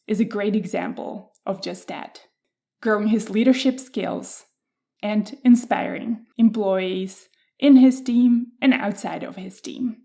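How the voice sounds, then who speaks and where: A woman hosting a podcast, reading a measured, reflective introduction and then welcoming her guest. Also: mid-range voice, dark tone. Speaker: podcast host.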